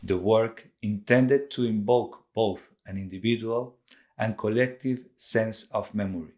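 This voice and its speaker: A low-voiced man from Colombia narrates art projects in English with a Colombian accent. He is a native Spanish speaker, and his scripted delivery is formal and measured. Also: a little mellow. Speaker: visual artist.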